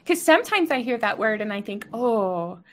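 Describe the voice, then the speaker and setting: Higher-voiced middle-aged woman hosting. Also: big pitch swings, normal speed. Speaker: host. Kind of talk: video presenting and conversational interviewing. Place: home room with bookshelves.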